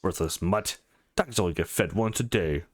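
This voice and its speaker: A man's voice: sits low, moderate pace, no room sound, studio quality. A man voicing a cruel father who taunts with sneering contempt in a visual novel.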